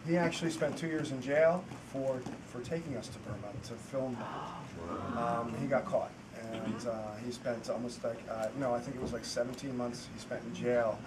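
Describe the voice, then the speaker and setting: A filmmaker on a festival panel answering audience questions in earnest, rambling sentences full of restarts. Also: male voice, mid-range voice, steady tone, normal speed. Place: festival screening hall. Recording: distant mic with noisy room.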